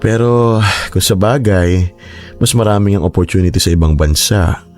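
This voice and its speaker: A man's voice: low-pitched, moderate pace, darkish tone, soft background music, lightly acted. A man playing a kindly, cautious older uncle in a Tagalog radio drama, with a Filipino accent. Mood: teasing, fond.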